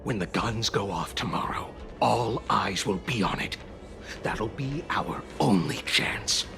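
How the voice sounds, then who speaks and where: A man's voice: fairly low pitch, lively intonation, takes it slowly, vocal fry, music in the background, full character voice. A man playing a cold, scheming villainous conspirator in a video game.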